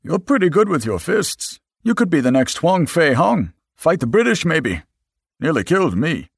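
A kindly old neighbor who sells hot water, fussing and concerned, as a male narrator puts him on. The voice sits well above the narrator's own, with a lively, slightly husky tone. Dry, close audiobook recording. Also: on the high side, normal speed, no room sound, pitched above the performer's own voice, exaggerated voice. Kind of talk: audiobook character voice.

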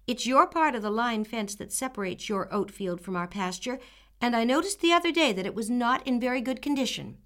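A woman's voice: on the high side, expressive, fairly quick, fairly bright tone, pitched above the performer's own voice. A woman voicing a young girl who answers firmly and with dignity. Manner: firm, dignified.

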